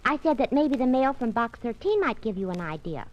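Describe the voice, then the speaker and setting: A woman's voice: fairly high pitch, sing-song, fairly quick. An actress playing a perky, teasing, scatterbrained secretary in a 1940s radio drama, playful and dreamy in her delivery. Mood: chirpy, matter-of-fact. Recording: muffled vintage 1940s radio recording.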